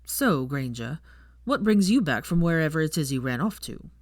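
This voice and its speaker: A woman voicing a smug, amused, aristocratic young man in a story reading, calm and sardonic. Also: low-pitched, moderate pace, no echo, clean studio sound. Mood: amused taunting.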